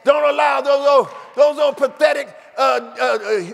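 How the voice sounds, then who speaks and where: A male pastor preaches in a very high, gravelly voice, shouting his lines with fervent repetition. The sound carries a long church reverb, and a congregation is faintly audible behind him. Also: fairly even intonation, unhurried, a faint crowd. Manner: fervent call-and-response preaching, repeats phrases. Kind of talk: shouted sermon. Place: church sanctuary. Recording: echoey hall with congregation.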